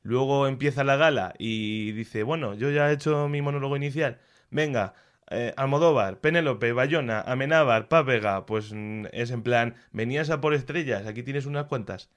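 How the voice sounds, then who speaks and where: A man from Castilla-La Mancha with a Castilian Spanish accent, reviewing culture in a casual, opinionated and expressive conversational way. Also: mid-range voice, normal speed, smooth. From Castilla-La Mancha, Spain. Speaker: culture commentator.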